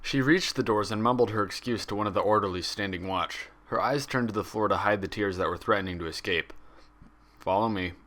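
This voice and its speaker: A man's voice: fairly low pitch, fast talker, few pauses, a bit bright. A man narrating a scripted story dramatically, as a fan fiction reader.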